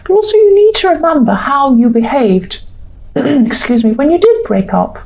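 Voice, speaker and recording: A woman giving a rambling, reassuring tarot reading with a slightly croaky voice. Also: high-pitched, sing-song, normal speed, low-quality recording. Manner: gentle, reassuring, rambling with self-corrections. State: croaky, clearing throat. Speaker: tarot reader. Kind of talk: casual tarot reading monologue.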